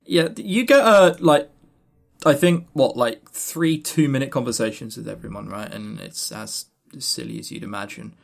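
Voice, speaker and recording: A man's voice: mid-range voice, normal speed, creaky. A man co-hosting a podcast in casual review chat, dryly sarcastic and often repeating words as he starts a sentence. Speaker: podcast co-host.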